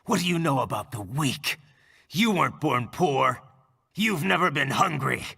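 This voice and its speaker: Game cyborg hero: seething, battle-worn, hoarse growl, slow and extremely forceful. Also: male voice, a little high, lively intonation, long reverb tail, full character voice. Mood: bitter, accusing. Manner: intense, seething, strained. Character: furious, battle-worn male cyborg warrior. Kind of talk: dramatic video game cutscene acting.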